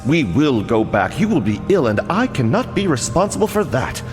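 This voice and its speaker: A man's voice: medium pitch, sing-song, moderate pace, natural voice. An actor playing a scheming, falsely solicitous nobleman in a gothic radio drama, with a theatrical, obsequious and coaxing manner. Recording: long hall reverb, music underneath.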